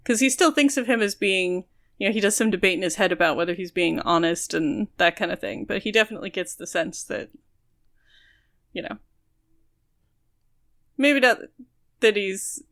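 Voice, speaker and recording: A woman's voice: medium pitch, moderate pace, pauses often, a bit bright. A woman co-hosting a podcast, talking casually about a book and explaining her points at length with careful qualifications. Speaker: podcast co-host.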